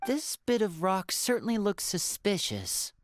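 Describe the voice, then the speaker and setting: A woman playing a wary, level-headed adventurer in a game, composed and deliberate. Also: mid-range voice, sing-song, slight background noise. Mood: suspicious. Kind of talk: scripted game voice acting.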